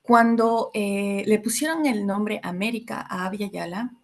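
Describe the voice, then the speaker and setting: A woman from Ecuador in her thirties giving a reflective educational talk to a group, pausing often with fillers. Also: mid-range voice. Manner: reflective, many filler hesitations. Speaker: workshop facilitator.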